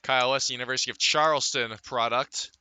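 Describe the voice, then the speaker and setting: A man calling live baseball play-by-play for radio from a ballpark, in measured phrases with pauses between plays. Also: medium pitch, fairly even intonation, pushed and bright, smooth, no echo. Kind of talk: live radio sports commentary.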